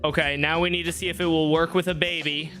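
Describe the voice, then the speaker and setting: A man's voice: a little high, level tone, fast talker, over music. A young man streaming a game, rambling and joking at himself as he thinks aloud. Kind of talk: casual live-stream gameplay talk. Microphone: streaming microphone.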